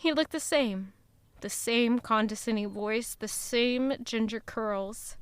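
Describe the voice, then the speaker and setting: A woman playing a quietly resolute young woman in an audio drama, narrating in a measured, reflective way. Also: a little high, clear, resonant tone, no room sound. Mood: brooding, wistful. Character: guilt-ridden, quietly resolute young woman returning to her hometown. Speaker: narrator and lead character. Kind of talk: first-person scripted audio drama narration.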